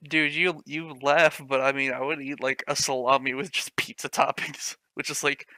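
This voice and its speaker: Bright-voiced sarcastic man reading aloud. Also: medium pitch, flat delivery, moderate pace, dry recording. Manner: sarcastic, swears, laughs at the text. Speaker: reader of a fan story. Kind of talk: mocking dramatic reading with commentary.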